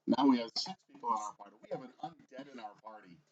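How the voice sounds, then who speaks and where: A man's voice: mid-range voice, fast. A man with a Rhode Island accent, playing a tabletop game in joking, casual chat that often trails off. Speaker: player. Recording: dull lo-fi stream audio.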